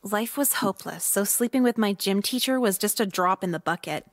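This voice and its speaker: A woman playing a jaded, sarcastic seventeen-year-old schoolgirl in a visual novel game, deadpan and flippant. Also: medium pitch, fairly quick, natural voice. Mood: bleak, deadpan. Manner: deadpan, flippant, dark humour.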